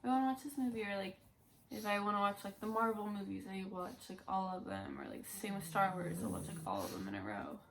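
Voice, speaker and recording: A woman's voice: mid-range voice, lively intonation, quick pace, vocal fry, slight background noise. A woman in her early twenties chatting casually and unscripted on a vlog, rambling and peppering her sentences with "like". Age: early 20s. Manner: rambling, frequent "like". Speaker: co-host of a Disney fan vlog. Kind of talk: casual unscripted chat, answering tag questions.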